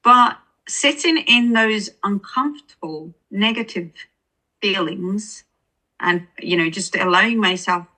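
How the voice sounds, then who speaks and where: A woman's voice: mid-range voice, measured pace, pushed and bright, poor audio. A woman with an Estonian accent, an author speaking as an interview guest, earnest and searching for words with many restarts. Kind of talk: reflective interview conversation.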